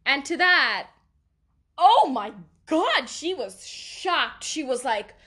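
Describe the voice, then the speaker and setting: Chatty accented woman, high and unhurried. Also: sing-song, pushed and bright. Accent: non-native accent. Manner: rambling, self-deprecating, laughs often. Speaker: podcast host. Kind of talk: casual solo podcast chat.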